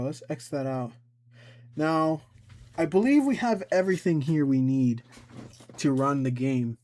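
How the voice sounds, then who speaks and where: A man giving a casual, informal software tutorial, talking himself through each step as he goes. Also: on the high side. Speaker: tutorial host.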